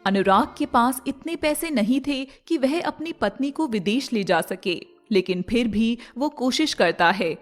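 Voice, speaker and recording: Woman narrator for a moral story, highish voice, scripted read, light music behind. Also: animated, a little slow, few pauses.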